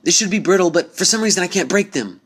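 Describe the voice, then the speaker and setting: Guy doing a brash pegasus pony girl from a story, pitched way up, excitable. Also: fast, slight background noise. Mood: angry, frustrated. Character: brash young female pegasus pony, bold and hot-headed.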